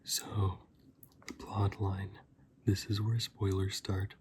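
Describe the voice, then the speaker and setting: A man speaks in a soft, breathy ASMR style, often slipping into a whisper. His delivery is even and very unhurried, with a dark, relaxed tone. He rambles casually like a reviewer chatting to viewers. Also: deep voice, slight background noise. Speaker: host of an ASMR review channel.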